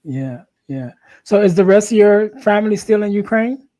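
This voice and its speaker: A woman hosting a podcast, interviewing casually in a halting way, often repeating words as she works toward her point. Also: mid-range voice, expressive, warm tone. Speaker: podcast host.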